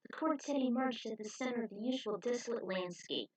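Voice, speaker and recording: A woman's voice: fairly high pitch, brisk, breathy edge, low-quality recording. Woman reading out her own fanfic, just telling the story as narrator. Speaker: fan fiction author and narrator. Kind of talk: reading own fan fiction story aloud.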